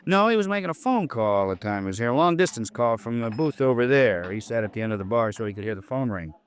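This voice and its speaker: A medium-pitched male voice, an actor as an American bartender from a cheap joint in an old radio drama. He is folksy and slangy, talkative and eager to explain himself. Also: expressive, fast talker, smooth, lightly acted. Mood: recalling. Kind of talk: 1950s radio drama acting.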